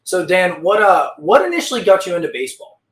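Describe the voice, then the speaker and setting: A man's voice: high voice, big pitch swings, quick pace, fairly bright tone. A young man hosting a podcast, chatting informally and casually with his guest. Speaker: podcast host. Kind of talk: casual interview chat. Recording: roomy, distant from microphone.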